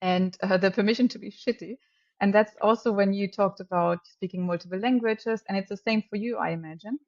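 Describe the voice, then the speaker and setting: A woman's voice: mid-range voice, fairly even intonation, fairly quick. A woman in her thirties whose mother tongue is German chats enthusiastically as a podcast guest. She laughs easily and often restarts her sentences. The audio sounds a little dull and lo-fi. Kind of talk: casual podcast conversation.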